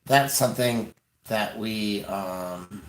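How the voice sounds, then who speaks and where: An American man rambling casually on a livestream, hesitant and pausing often as he talks. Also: on the low side, rough recording. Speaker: livestream host, activist.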